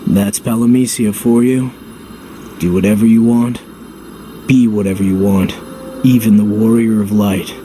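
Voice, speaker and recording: Low-voiced, blunt, stoic hero, played by a man. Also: fairly even intonation, normal speed, pauses often, warm tone, slight background noise, close to an everyday voice. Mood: firm, encouraging. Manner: terse, understated, blunt. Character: gruff, stoic young hero, blunt yet loyal. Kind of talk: dramatic video game voice acting.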